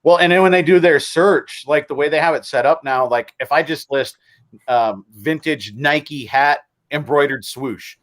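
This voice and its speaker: A man co-hosting a casual livestream chat, explaining things at a relaxed pace with examples. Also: on the high side, moderate pace. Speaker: co-host.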